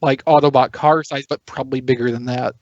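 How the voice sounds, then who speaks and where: A man on a podcast panel casually explaining things in a measured, qualifying way, recorded dry and slightly dull. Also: mid-range voice, fairly even intonation, no echo. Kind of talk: casual hobbyist discussion.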